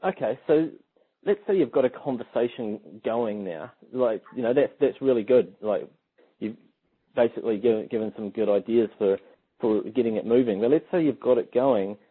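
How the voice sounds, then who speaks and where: A man's voice: medium pitch, normal speed, breathy edge. A man interviewing in a casual, informal way, feeling out his questions as he builds them up. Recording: muffled phone-like lo-fi audio.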